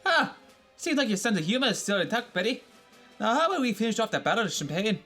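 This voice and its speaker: An actor playing an eccentric, wry scientist with a theatrical, halting delivery in an old-time radio adventure serial. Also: medium pitch, very animated, sharp tone, soft background music. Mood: gallant. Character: eccentric scientist doctor, wry, gallant, champagne-loving.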